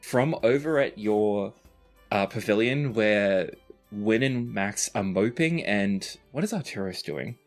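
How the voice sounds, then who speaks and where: A man's voice: on the low side, animated, takes it slowly. Man narrating as game master, music behind. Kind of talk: narrating a tabletop roleplaying game. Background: background music.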